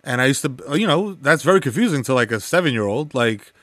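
A man's voice: mid-range voice, expressive, fast, fairly bright tone, dry recording, studio quality. A man from the Bronx in his thirties or forties with a New York accent, Spanish his first language, talking casually as a guest and laughing often, full of slang and fillers. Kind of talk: casual conversational interview.

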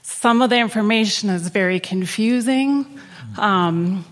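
A woman's voice: mid-range voice, unhurried. Attorney woman explaining, hall echo. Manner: plain, explanatory storytelling. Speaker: immigration attorney. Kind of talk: panel discussion. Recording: long hall reverb.

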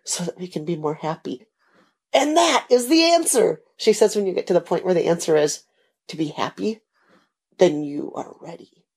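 A woman's voice: on the low side, lively intonation, moderate pace, a little mellow, husky. A woman in an informal conversation with her husband, earnest and coaxing. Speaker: wife recording a talk with her husband.

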